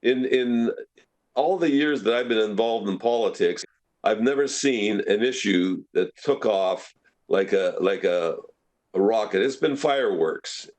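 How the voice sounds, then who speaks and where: Lower-voiced man speaking in council debate. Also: unhurried, a little mellow. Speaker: city councillor. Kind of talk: council meeting debate remarks.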